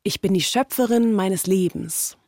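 Female co-host, mid-pitched voice, scripted documentary storytelling, dry close sound. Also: unhurried, a little mellow, no echo. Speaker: podcast co-host and narrator.

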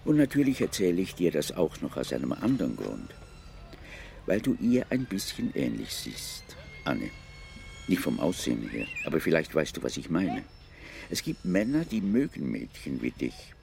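An actor playing a brooding old man who philosophizes slowly and solemnly in a radio drama. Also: very deep voice, huge pitch swings, darkish tone, full character voice. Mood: musing, melancholy. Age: older. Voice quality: gravelly.

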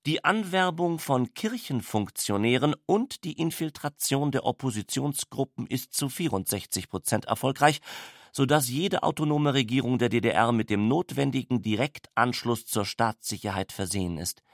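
A man reading scripted literary narration in a measured, formal, factual manner. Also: mid-range voice, animated.

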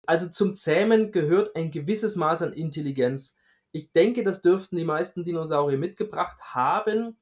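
A man explaining things as a guest researcher, measured and matter-of-fact. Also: fairly high pitch, animated. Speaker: dinosaur researcher, guest expert. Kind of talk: explanatory interview answers. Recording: slightly dull, lo-fi sound.

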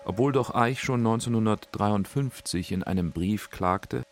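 A man's voice: lowish voice, unhurried. A man reading scripted narration for a radio literary documentary.

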